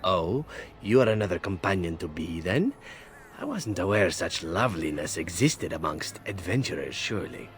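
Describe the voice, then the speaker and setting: A man playing a suave, flirtatious male elf assassin in a video game, with a smooth, teasing, courtly manner. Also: deep voice, big pitch swings, normal speed.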